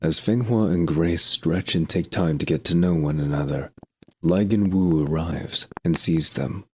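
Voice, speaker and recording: A man's voice: low-pitched, a little slow, darkish tone, slightly rough. A man reading scripted narration for an audio drama.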